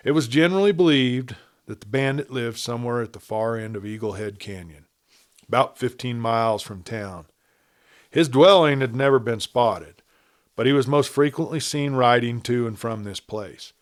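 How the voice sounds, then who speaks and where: A man reading a western story aloud as a scripted narrator. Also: mid-range voice. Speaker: audiobook narrator.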